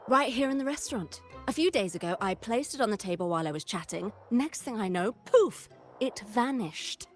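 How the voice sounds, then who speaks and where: A woman voicing a gloomy, melodramatic merchant who complains about her bad luck. The voice is fairly high and very lively in pitch. Soft game music sits behind it. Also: normal speed, lightly acted. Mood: aggrieved. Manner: dramatic, complaining. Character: gloomy dramatic merchant woman, self-pitying. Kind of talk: video game voice acting.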